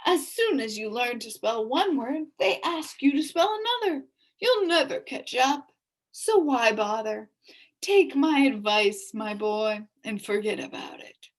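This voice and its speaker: A woman voicing a pompous, blustering beetle-like male humbug who speaks in a booming, bombastic way. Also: expressive, measured pace. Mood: condescending. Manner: booming, bombastic, self-important.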